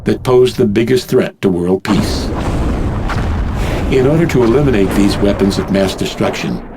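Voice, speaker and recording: A man narrating a game cutscene as a grave, measured teller of world events. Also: on the low side, heavy background noise, natural voice. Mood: solemn. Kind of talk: scripted dramatic narration.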